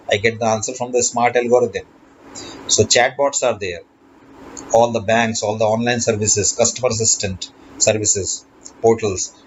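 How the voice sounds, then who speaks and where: Guy teaching a lesson, normal pitch, kinda halting, muffled lo-fi audio. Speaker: lecturer. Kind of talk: explanatory lesson. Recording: dull lo-fi recording with background noise.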